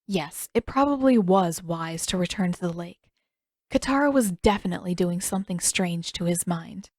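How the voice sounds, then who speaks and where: A woman's voice: fairly low pitch, lively intonation, normal speed, a little mellow, no room sound. A woman reading scripted fiction aloud as an audiobook narrator.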